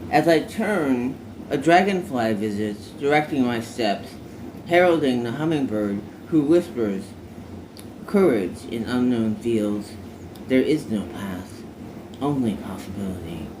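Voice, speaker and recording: Slow, deliberate male spoken word poet, noisy recording. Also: medium pitch, expressive. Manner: deliberate, short halting phrases with emphatic stresses. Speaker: poet reciting own poem. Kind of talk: dramatic spoken word poetry recitation. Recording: clearly noisy recording, ambient background.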